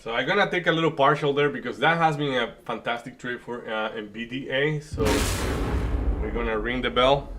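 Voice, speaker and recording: A man from the Dominican Republic with a Dominican Spanish accent, a native Spanish speaker hosting a live trading stream with casual, joking chat and halting, restarting market commentary. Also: medium pitch, a bit bright, a little hiss or hum. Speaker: day trading streamer host.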